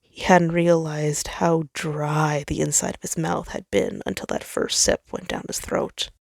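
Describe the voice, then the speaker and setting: Woman, story narrator, lowish pitch, very slow, dramatic scripted reading, dry close sound. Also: little pitch movement, vocal fry, no room sound. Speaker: fan fiction narrator.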